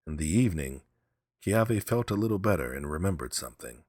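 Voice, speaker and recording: A man narrating a story as an audiobook reader, in measured, simple phrasing. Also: low voice, lively intonation, a little mellow, no room sound, studio quality.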